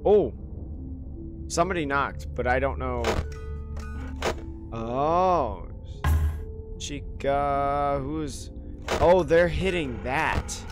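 A slightly hoarse man streaming a horror game, mumbling commentary to himself with many ums. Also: mid-range voice, extremely slow, pauses often. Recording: voice over loud game music.

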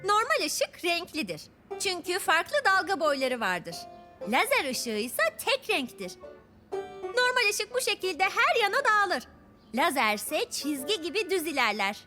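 A woman voicing a bright, confident young girl who explains things in a children's cartoon. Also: very high-pitched, sing-song, unhurried, exaggerated voice. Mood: explaining. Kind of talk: children's cartoon dub acting. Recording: music under the voice.

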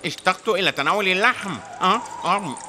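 Yelping cartoon wolf. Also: male voice, high-pitched, expressive, fast, background noise. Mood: greedy. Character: grey male wolf, greedy, jumpy and cowardly. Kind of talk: dubbed cartoon acting.

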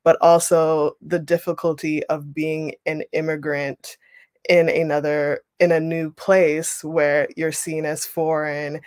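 A young woman leading an online writing workshop, reading a passage aloud and then explaining it with relaxed pauses over a video call. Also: deep voice, no echo. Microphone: webcam on a video call.

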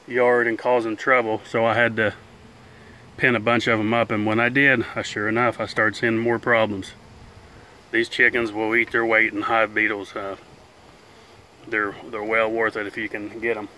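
A man talks in a plain, unhurried, folksy way as he films outdoors in a chicken pen, his voice bright and forward. A faint buzz of bees hangs in the open air behind him. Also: mid-range voice, slight background noise, poor audio. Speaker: beekeeper and channel host. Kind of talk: casual narration while filming. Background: faint buzzing of bees outdoors.

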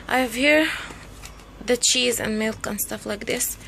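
A woman vlogger chatting casually and unscripted, haltingly searching for words as she shows things at home. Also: on the high side, creaky, fairly bright tone. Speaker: vlogger showing a grocery haul. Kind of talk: unscripted casual show-and-tell chat. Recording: noisy handheld home recording with room ambience.

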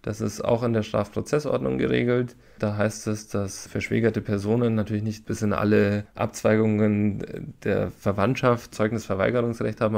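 A man's voice: fairly low pitch, vocal fry, darkish tone. A man giving explanations as a legal expert in a podcast interview, repeating words for emphasis.

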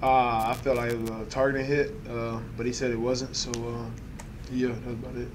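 A young man in his twenties, a college quarterback answering post-game press questions in a halting, soft-spoken way with many fillers. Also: medium pitch, flat delivery, background noise. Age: 20s. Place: press conference room.

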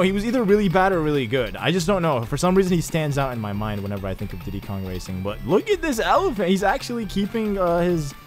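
A young man giving casual, excitable let's-play commentary over his gameplay, chatting with jokey asides. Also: fairly high pitch. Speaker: gaming YouTuber.

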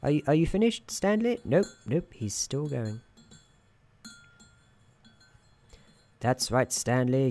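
A man playing a soft-spoken, whimsical, gently patronising narrator in an ASMR roleplay, speaking in a measured, soothing way. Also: medium pitch. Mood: gently teasing. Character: droll, soothing male storybook narrator in an office worker's head.

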